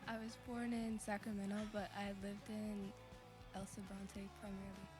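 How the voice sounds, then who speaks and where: A teenage woman, the band's bassist, chatting casually in a playful, teasing way. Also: little pitch movement, moderate pace, over music. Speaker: band bassist. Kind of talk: casual interview chat.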